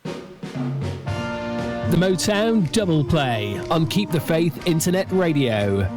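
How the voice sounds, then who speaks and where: A man voices a radio station jingle with big swings in pitch. He draws his words out slowly, and loud music plays right behind him. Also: big pitch swings.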